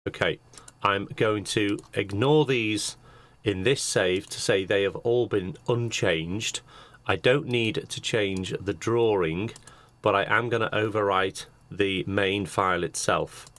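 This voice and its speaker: Low-voiced man demonstrating software step by step. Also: slow. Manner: methodical, explanatory, step-by-step. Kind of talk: screen-share tutorial narration, unscripted walkthrough. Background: computer keyboard typing.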